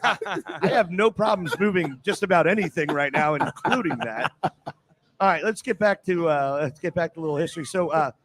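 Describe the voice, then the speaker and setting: A man's voice: on the high side, animated, moderate pace, a bit bright. A man with an American accent hosting a casual sports interview, joking and bantering as he asks questions. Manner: jokey, bantering. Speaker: show host. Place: live show at a casino.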